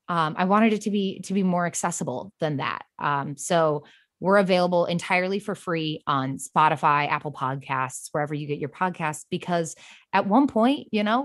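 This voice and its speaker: A woman talking casually as a podcast guest, chatty and given to acting out little quotes as she explains. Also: fairly low pitch. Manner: chatty, illustrates points with mimicked quotes. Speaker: podcast guest, co-creator and romance writer. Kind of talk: casual interview conversation.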